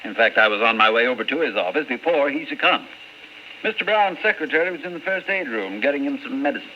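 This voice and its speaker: A male actor playing a formal, measured, matter-of-fact physician in an old-time radio drama. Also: mid-range voice, lively intonation, natural voice. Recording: muffled, faintly noisy old radio recording.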